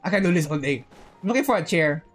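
A woman livestreamer chatting casually over a game in an excitable, joking way, often repeating phrases. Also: low-pitched, fast talker. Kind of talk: casual game stream chat. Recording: game music behind.

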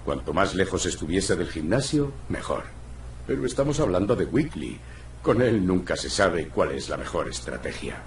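A man voicing a gruff, weary cat private detective in a video game, with a deep gravelly voice, a terse, dry delivery. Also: big pitch swings, moderate pace, slight background noise. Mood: firm, wry. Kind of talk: video game dialogue acting. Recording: roomy echo, faint music behind.

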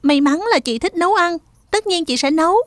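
A woman's voice: very high-pitched, animated, fairly quick, no echo, studio quality. A woman voicing a kind, motherly young girl in a cartoon dub. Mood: motherly, assured.

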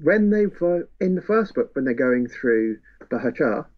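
A man's voice: medium pitch, sing-song, unhurried. A man, co-hosting a podcast in a casual book discussion. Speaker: podcast co-host. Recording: dull, lo-fi sound.

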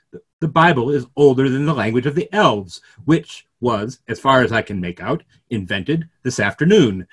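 A middle-aged man acts out an earnest clergyman in a stage play reading. His voice is husky and mid-pitched. He argues in wordy, rhetorical turns with gentle irony. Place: small room at home, video call.

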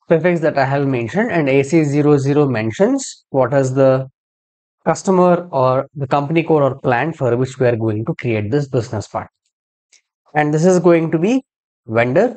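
A man giving a screen-share software tutorial as a trainer, explaining step by step and spelling out codes. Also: medium pitch.

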